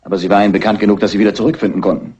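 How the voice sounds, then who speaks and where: Dry police inspector, husky. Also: male voice, lowish voice, flat delivery, rapid-fire, a little mellow. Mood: dry, insistent. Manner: dry, matter-of-fact, official politeness. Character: dry, stern, persistent police inspector questioning suspects. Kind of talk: dubbed classic crime film dialogue. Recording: muffled old film soundtrack.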